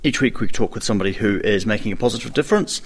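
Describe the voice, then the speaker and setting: A man's voice: fairly low pitch, rapid-fire, slight background noise. A man hosting a radio interview, asking brief questions with a dry wit. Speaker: radio show host.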